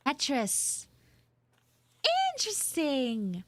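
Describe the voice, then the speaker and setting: A woman voicing a bratty, dramatic tsundere anime girl in a live improvised audio roleplay, theatrical and whiny, with drawn-out words. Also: high voice, sing-song, full character voice. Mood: playful, chatty. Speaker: streamer, voice actor.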